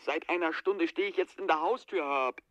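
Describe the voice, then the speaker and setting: High-pitched, frightened, haunted man. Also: normal speed, fairly bright tone, lo-fi sound. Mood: uneasy, fearful. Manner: urgent, agitated, hesitant. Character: frightened man haunted by the wind, anxious and pleading. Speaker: radio drama actor. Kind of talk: 1980s German radio play acting.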